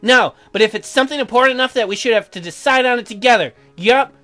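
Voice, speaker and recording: A man's voice: extremely high pitch, fast talker, pushed and bright, faint music behind. A man voicing a bratty, indignant young pregnant wife in a video game, whiny and sassy.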